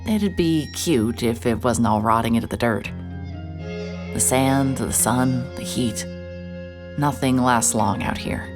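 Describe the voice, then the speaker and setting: A woman with a strikingly deep, low voice narrates a noir story in first person, dry and wry. A clear music bed runs under her words. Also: slow pace. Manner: dry, wry, world-weary. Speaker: narrator and lead character, a private investigator.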